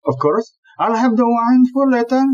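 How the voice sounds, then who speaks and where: A woman acting in an audio play as a smooth-talking, dismissive male police chief inspector who is a philanderer. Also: fairly high pitch, lively intonation, moderate pace, a little mellow, muffled recording, lightly acted. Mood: suave.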